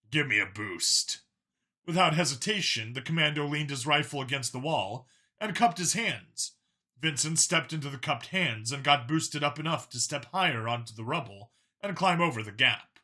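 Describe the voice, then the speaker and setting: Guy narrating an action audiobook, really bright punchy voice, dramatic scripted read. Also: fairly high pitch, moderate pace.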